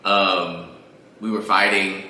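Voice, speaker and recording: A man's voice: low-pitched, level tone, takes it slowly. A man chatting casually as an interview guest, candid and slangy, laughing easily. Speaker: singer-songwriter, interview guest. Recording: echoey room, faint background noise.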